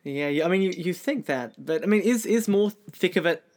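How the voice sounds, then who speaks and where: A man's voice: high voice, expressive, fairly quick. An Australian man hosting a podcast, interviewing his guest in a conversational way.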